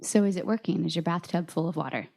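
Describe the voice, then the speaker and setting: A woman's voice: lowish voice, fast talker, vocal fry, a little mellow. A woman, hosting a podcast in an upbeat, chatty way. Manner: upbeat and chatty, addresses listeners as y'all. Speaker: podcast host.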